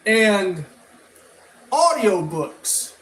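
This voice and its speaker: A man giving rambling, casual opinion commentary as a review vlogger, halting and often repeating and restarting his phrases. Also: high voice, very animated, a bit bright, rough recording. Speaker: toy and media review vlogger.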